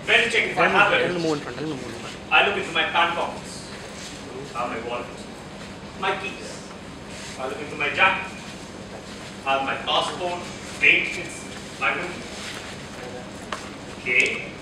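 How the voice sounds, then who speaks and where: A man's voice: on the high side, lively intonation, a little slow, pauses often. A young man acting a short-tempered, exasperated husband in a live stage play. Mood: muttering, searching. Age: young adult. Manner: exasperated, ranting, melodramatic. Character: frantic, short-tempered American husband, exasperated and self-pitying. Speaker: student stage actor. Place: auditorium stage. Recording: echoey noisy auditorium, recorded from far off.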